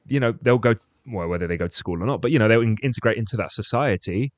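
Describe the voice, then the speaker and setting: A man's voice: fairly low pitch, fast talker, no echo, muffled recording. A man co-hosting a podcast, talking in a thoughtful, reflective way and often adding "you know". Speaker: podcast co-host. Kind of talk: casual chat.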